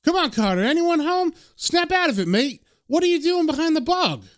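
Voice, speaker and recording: A man's voice: very high-pitched, very animated, normal speed, voice pushed up. A man voicing a worried British bloke who pleads with his mate.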